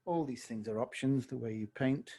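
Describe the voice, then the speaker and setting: Hoarse man teaching watercolor. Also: medium pitch, normal speed. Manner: relaxed, thinking aloud while painting, self-correcting. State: slightly hoarse, losing his voice. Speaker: watercolor tutor. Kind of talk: live online painting tutorial. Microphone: video call.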